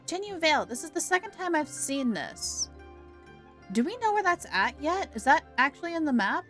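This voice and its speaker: A woman streaming a game, chatting animatedly and thinking out loud. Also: sits high, very animated, moderate pace, soft background music. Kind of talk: casual live-stream commentary.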